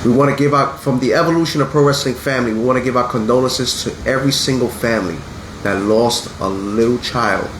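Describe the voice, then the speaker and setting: A man's voice: mid-range voice, brisk. A man in his thirties hosting a casual wrestling podcast, sounding congested from a sinus infection and talking in an earnest, blunt way that turns to swearing when he gets upset. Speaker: podcast host. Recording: echoey room with faint hum.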